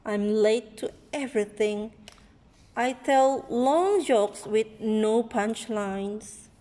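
A woman reading a picture book aloud expressively to a child, in a deliberate manner, recorded on a handheld phone indoors. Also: on the high side, dark tone, long reverb tail. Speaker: read-aloud storyteller. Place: indoor room with wooden floor. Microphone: handheld phone near the book.

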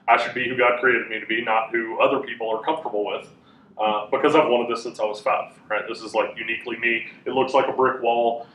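A man in his thirties teaching a class in a casual, chatty way, with a loud voice that carries and many fillers. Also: medium pitch. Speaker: Bible teacher. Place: classroom with a whiteboard, indoors. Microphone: room mic from a fixed camera. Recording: echoey room, voice a bit far off.

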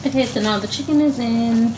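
A woman's voice: fairly high pitch, fairly quick, heavy background noise. A woman casually talking through a home cooking video in her kitchen, hesitant, with many ums and restarts. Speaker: cooking vlogger.

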